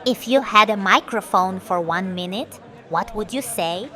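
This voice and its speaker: A woman's voice: mid-range voice, unhurried, sharp tone. A woman voicing a brash puppet street reporter, theatrical and declamatory, in a mock interview segment. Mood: brash, showy. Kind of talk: mock vox-pop reporting.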